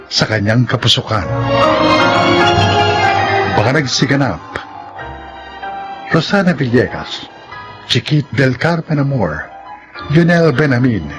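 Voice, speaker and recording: Announcer: man, scripted and formal read, animated intonation, faint music under a muffled recording. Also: mid-range voice. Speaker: drama announcer. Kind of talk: scripted moral and closing credits read.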